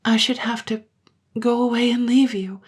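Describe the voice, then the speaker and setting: A woman voicing a gentle, sorrowful mother who speaks softly and slowly. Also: on the high side, steady tone, husky, voice pushed up. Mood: tender. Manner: soft and slow.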